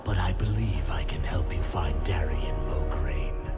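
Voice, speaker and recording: Slow, grave otherworldly adviser. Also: male voice, extremely low pitch. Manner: formal, archaic phrasing. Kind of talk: dramatic fantasy game dialogue. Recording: loud music behind.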